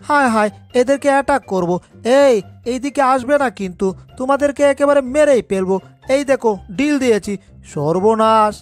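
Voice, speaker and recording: Lady doing a high voiced scaredy cartoon tomcat, fretting and exclaiming, music underneath. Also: natural voice. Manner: exclaiming, fretful muttering to itself. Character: panicky, put-upon male cartoon cat, easily frightened. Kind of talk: cartoon fan dub.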